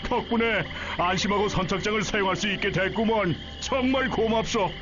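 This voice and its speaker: Casting: actor as an old-fashioned, folksy older dock hand for a game, raised pitch, slightly muffled. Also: male voice, a little fast, music in the background, lightly acted. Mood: grateful, hearty. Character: grateful, folksy older dockside workman. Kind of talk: Korean game character voice acting.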